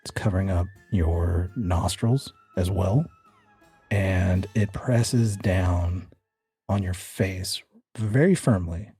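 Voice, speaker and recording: A man's voice: low-pitched, slow, dark tone, breathy edge, soft background music. A man narrating a tabletop horror game as game master, conversational and addressing the player directly. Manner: conversational, describes scenes in the second person. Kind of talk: tabletop horror game narration, part suspenseful storytelling, part casual rules talk.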